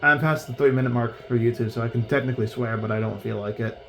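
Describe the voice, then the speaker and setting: A man's voice: mid-range voice, steady tone, quick pace, gravelly, background noise. A young man from Pennsylvania giving casual, rambling gameplay commentary from his bedroom. He is chatty, drifts off topic and pokes fun at himself. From Pennsylvania, USA. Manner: chatty, easily sidetracked, self-mocking. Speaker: gaming YouTuber. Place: bedroom at home.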